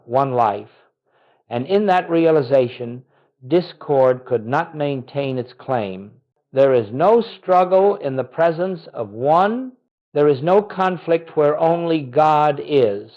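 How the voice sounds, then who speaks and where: A man with a slightly high voice leads a guided spiritual contemplation. His delivery is solemn, reverent and measured, with deliberate phrasing like a scripted teaching. The recording sounds a little dull and muffled.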